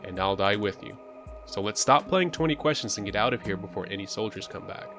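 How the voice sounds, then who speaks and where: A man's voice: lowish voice, animated, fairly quick. A man reading the lines of a terse, secretive medical AI companion from a sci-fi visual novel, matter-of-fact and urging. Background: music.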